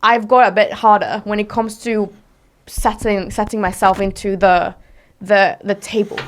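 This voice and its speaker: A woman's voice: mid-range voice, flat delivery, moderate pace. A young woman from Mexico, whose first language is Spanish, speaking as a podcast guest in a direct, emphatic way and asking herself rhetorical questions. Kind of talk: casual interview talk.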